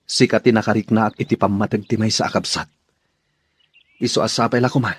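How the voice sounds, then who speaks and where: A man's voice: on the low side, fairly even intonation, brisk, husky. A man playing an earnest, respectful young fighter in a radio drama, speaking with deference. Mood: respectful, grateful.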